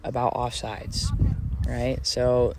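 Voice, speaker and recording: A woman coaching instructor giving calm, encouraging feedback to a coach on a sports field. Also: a bass voice, slow. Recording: noisy outdoor field recording.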